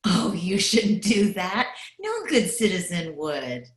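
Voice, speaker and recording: A woman's voice: fairly low pitch, animated, slow. A woman playing a prim, principled small-town polling clerk in a 1940s radio comedy. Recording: roomy remote home recording.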